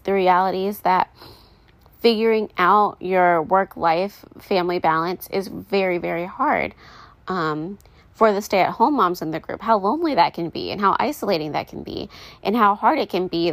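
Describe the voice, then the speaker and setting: A woman's voice: fairly low pitch, creaky, no echo. A woman in her late twenties, chatting casually and rambling to her viewers about motherhood, with lots of fillers. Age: late 20s. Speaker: vlogger. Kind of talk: casual chat to camera.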